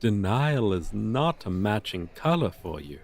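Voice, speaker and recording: A man's voice: fairly low pitch, sing-song, a little slow. A man playing a pompous, flirtatious dragon teacher in an ASMR roleplay, speaking in florid, formal, condescending phrases. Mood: flirtatious, teasing. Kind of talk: ASMR roleplay, slow theatrical delivery.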